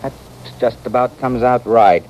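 An actor playing a level-headed American frontier town doctor in an old-time radio western, soothing and reasoning with a wry touch. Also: male voice, mid-range voice, fairly even intonation, unhurried, a little mellow, close to an everyday voice. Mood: shrewd. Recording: dull, faintly hissy vintage radio recording.

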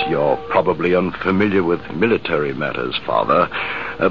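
An actor playing a male army major who tells the story in a reflective, measured way, in 1950s radio drama style. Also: extremely low pitch, gravelly, full character voice. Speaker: narrator. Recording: hissy, muffled old radio broadcast.

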